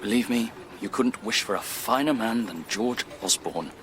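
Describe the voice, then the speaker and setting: A man's voice: on the low side, normal speed, a bit bright, a little hiss or hum, lightly acted. A man playing an earnest, courteous young English captain in a period radio drama, speaking politely and carefully. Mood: loyal, restrained.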